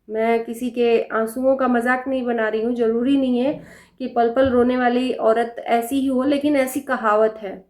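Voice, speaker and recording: A woman giving casual, opinionated commentary in a pointed manner, with rhetorical questions and repeated phrases. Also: fairly high pitch. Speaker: reaction video host. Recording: echoey, reverberant room.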